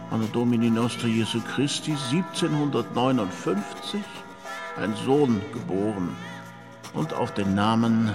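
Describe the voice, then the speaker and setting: A man playing an official who solemnly reads out a birth register in a radio drama. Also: medium pitch. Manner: slow, formal, deliberate. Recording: music behind the voice.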